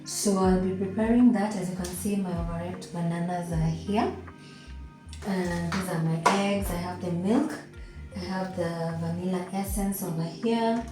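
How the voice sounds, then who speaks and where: A woman and mother vlogging at home in the morning, talking casually through her kitchen with a croaky, deep, just-woken voice. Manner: relaxed, chatty, explains as she goes. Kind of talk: casual vlog narration. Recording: roomy echo with faint background music.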